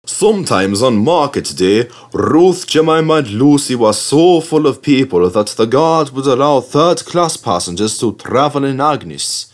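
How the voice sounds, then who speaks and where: A man voicing a kindly, grateful old steam engine gently reminiscing in a storybook. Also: medium pitch, animated, a little slow, hardly any pauses, voice pushed up, close to an everyday voice.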